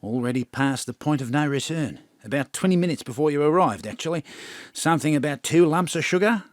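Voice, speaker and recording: An actor playing a gloomy, wry old mentor in an audio drama, resigned and deadpan. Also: male voice, a little high, fairly quick, no room sound, clean studio sound, close to an everyday voice.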